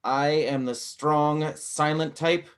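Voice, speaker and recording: An actor playing a surly, boastful gangster in a detective radio play. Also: male voice, on the high side, fairly even intonation, takes it slowly, clear, resonant tone.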